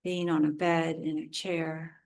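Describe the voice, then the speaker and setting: A woman leads a guided relaxation as a yoga teacher. Her voice sits a little low, and she speaks very slowly. She delivers short, soothing phrases with space between them. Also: little pitch movement, creaky, darkish tone.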